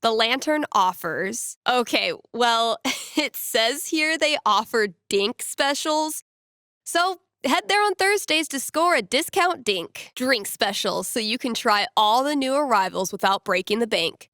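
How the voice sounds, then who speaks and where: A woman's voice: on the high side, lively intonation, slow, sharp tone, no room sound, clean studio sound, exaggerated voice. A woman playing a wry, playful young small-town radio DJ in a video game, talking casually with teasing asides. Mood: playful, dry.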